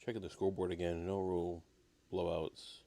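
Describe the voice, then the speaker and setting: A man with a slightly raspy voice, getting over an illness, giving low-key, casual live baseball commentary as a stream host. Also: flat delivery, brisk, dark tone.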